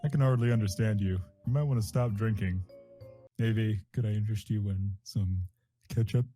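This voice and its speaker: A man's voice: fairly low pitch, steady tone, normal speed, dark tone, soft background music, close to an everyday voice. A man voicing a laid-back, dryly grumbling skeleton character in a fan fiction reading. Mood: dry, teasing. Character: laid-back, wry male skeleton, grumbling but caring.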